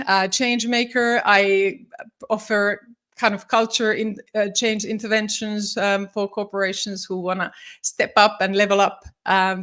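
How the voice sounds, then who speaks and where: A woman's voice: mid-range voice, a bit bright. A woman from Finland in her forties, a psychologist and leadership coach, giving a live-streamed talk with many ums and restarts. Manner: friendly, many ums, restarts, slightly formal phrasing.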